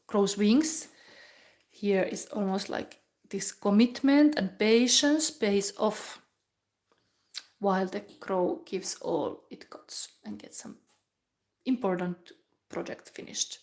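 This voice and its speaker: A woman from Finland with a Finnish accent, giving a rambling, musing tarot reading in English that often drops to a soft whisper. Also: mid-range voice. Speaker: tarot reader. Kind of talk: live pick-a-pile tarot reading chat.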